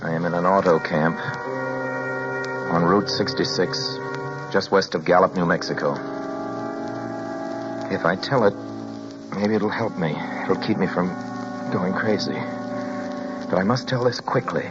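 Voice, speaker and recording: A man's voice: deep voice, measured pace, long pauses. An actor playing a haunted man in his thirties in a 1940s radio drama. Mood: grave, weary. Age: 30s. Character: haunted man of thirty-six, desperately clinging to his sanity. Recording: dull vintage radio broadcast with music.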